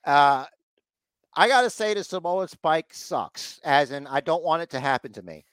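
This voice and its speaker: A man's voice: fairly high pitch, lively intonation, moderate pace, fairly bright tone, smooth, no echo. Congested, rambling man on a podcast. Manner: rambling, puts on mocking voices for quotes. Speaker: podcast co-host recapping wrestling. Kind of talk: casual chat.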